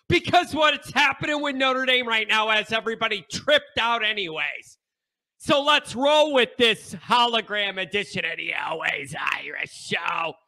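Stuffed-up man, high and sharp. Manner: emphatic, repeats points with bursts of shouting. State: stuffed-up nasal congestion. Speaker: show host. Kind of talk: sports talk monologue.

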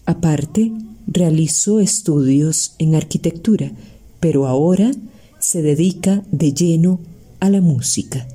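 Costa Rican woman, interview host, low husky voice, slow measured delivery. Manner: warm formal praise, slow deliberate phrasing. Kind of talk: slow formal radio-style interview.

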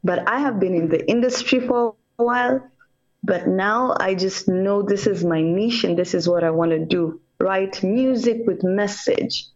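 A woman's voice: mid-range voice, a little slow, darkish tone, muffled recording. A woman from Kenya, a reggae singer, talking earnestly about her music in a casual radio interview, in short repeated phrases. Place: radio call-in.

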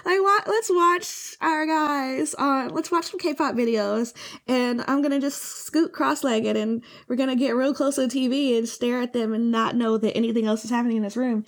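A woman co-hosting a podcast in casual chat, slightly hoarse, laughing often and filling her talk with "like". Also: sits high, moderate pace. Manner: laughing, chatty, lots of "like" fillers. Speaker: podcast co-host.

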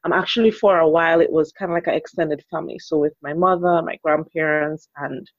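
A woman's voice: low-pitched, steady tone, brisk, dry recording, highs cut off. A woman in her thirties from Trinidad and Tobago with a Trinidadian accent, speaking as a guest relationship educator in a thoughtful interview conversation.

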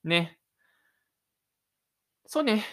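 A man's voice: very slow, bright, forceful tone. Casual, chatty male host and storyteller. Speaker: host and narrator of his own audio drama. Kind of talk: audio drama narration and casual host chat.

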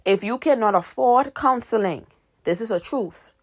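A woman's voice: a little high, lively intonation, moderate pace. Earnest young woman giving podcast advice. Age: 20s. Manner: earnest, repeats key points for emphasis. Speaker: podcast host and author. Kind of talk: casual advice monologue.

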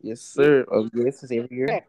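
A man of twenty co-hosting a podcast, playful and jokey, often jumping in with quick reactions. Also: medium pitch, fairly even intonation, mellow. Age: 20s. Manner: playful, jokey, chimes in a lot. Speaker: podcast co-host. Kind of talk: casual chat.

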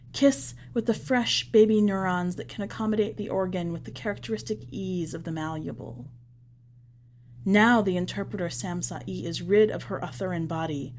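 A woman, the podcast's editor, reading a short story aloud in measured scripted narration. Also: medium pitch, a little mellow, a little hiss or hum.

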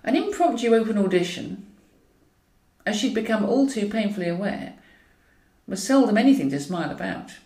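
Woman narrator, lively intonation, wry storytelling, echoey room, set back from the mic. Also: medium pitch, normal speed. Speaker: author reading own novel. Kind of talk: scripted narration of a comic novel. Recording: roomy echo, distant from mic.

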